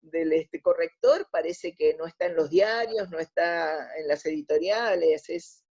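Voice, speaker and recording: A woman's voice: deep voice, a little slow, darkish tone, husky, lo-fi sound. An Argentine woman talking as an interview guest, explaining her opinions and often rephrasing mid-sentence. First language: Spanish. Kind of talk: conversational radio interview.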